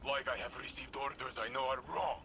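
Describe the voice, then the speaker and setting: Solemn, formal male warrior, mid-pitched; male actor, noisy vehicle backdrop. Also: flat delivery, a little fast, close to an everyday voice. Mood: troubled. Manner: formal, avoids contractions. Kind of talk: video game cutscene.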